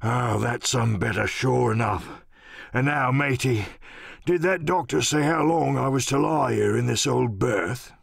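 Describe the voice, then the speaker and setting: Gravelly, cantankerous old captain. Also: male voice, mid-range voice, a little slow, no room sound, studio quality, exaggerated voice. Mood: relieved. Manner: rambling, salty seafaring talk, cursing. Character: sick, rum-craving old pirate sea captain, cantankerous and pleading. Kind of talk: audiobook radio-play character acting.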